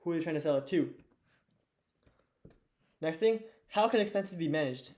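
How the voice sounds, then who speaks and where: A teenage boy with a hoarse, fading voice, teaching an investing lesson at a whiteboard in a loose, chatty way with lots of fillers and restarts. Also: fairly high pitch, expressive, moderate pace, a little mellow. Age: teens. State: hoarse, losing his voice. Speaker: student teacher of an investing course.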